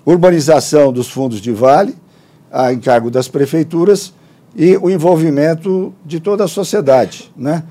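Brazilian guy wrapping up a conference talk, mellow tone, quick, some stumbles. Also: on the high side. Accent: Brazilian Portuguese. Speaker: conference speaker. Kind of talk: formal presentation.

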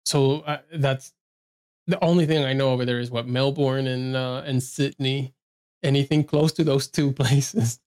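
A man's voice: mid-range voice, steady tone, a little slow, a little mellow. A man interviewing a guest, asking loose, rambling questions full of fillers and easy laughs.

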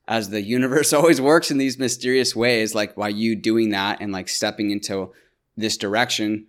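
A man's voice: on the low side, steady tone, normal speed. A man hosting a casual interview, reflecting on his guest's story with enthusiasm. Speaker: podcast host.

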